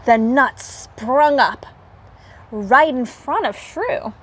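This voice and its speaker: A woman reading a children's story aloud in animated storybook narration. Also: high voice, very slow, slightly rough.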